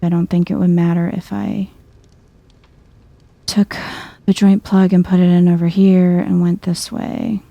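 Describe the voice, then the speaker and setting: A soft-spoken woman giving hushed, chill ASMR-style video game commentary, thinking aloud to herself. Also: lowish voice, level tone, measured pace, gravelly, no room sound, highs cut off.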